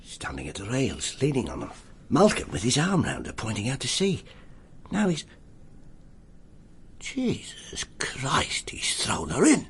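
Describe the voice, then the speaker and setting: Radio drama detective: middle-aged, English, gravelly, wry copper with widely swinging delivery. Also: male voice, medium pitch, huge pitch swings, measured pace, pauses often, a little hiss or hum, full character voice. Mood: low, tense. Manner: dry, clipped, colloquial copper's talk. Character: gruff middle-aged male police detective inspector, wry and world-weary. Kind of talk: BBC radio drama acting.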